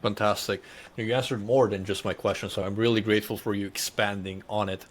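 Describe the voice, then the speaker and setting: A man's voice: lowish voice, fairly even intonation, brisk, slight background noise. A man hosting a podcast, affirming his guest and asking long, polished framing questions. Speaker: podcast host. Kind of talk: interview host.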